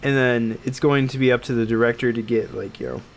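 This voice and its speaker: A man of medium pitch chats casually as a podcast co-host, with faint noise behind him. He gives opinions in pieces, qualifying them as he goes. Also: steady tone, brisk. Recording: noticeable background noise.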